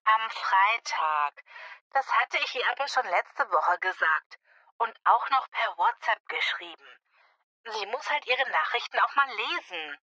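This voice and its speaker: A woman plays a grown daughter, affectionate yet a little impatient, in a language-learning dialogue. Her voice is bright, crisp-edged and fairly high. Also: big pitch swings, measured pace, dry recording, heavily acted, poor audio. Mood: mildly impatient.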